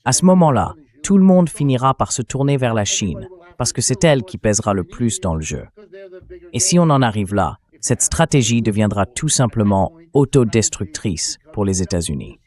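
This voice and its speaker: A synthetic male voice with a fairly low pitch delivers political commentary. It explains points in a conversational way, as a voice-over for a dubbed interview. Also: sing-song, normal speed, a little mellow.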